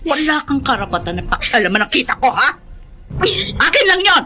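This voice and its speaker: A woman's voice: high-pitched, sing-song, fairly quick, bright, forceful tone, heavily acted. A woman playing a harsh, selfish, middle-aged mother in a radio drama, scolding and dismissive. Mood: angry scolding. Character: harsh, selfish, short-tempered mother snapping at her daughter.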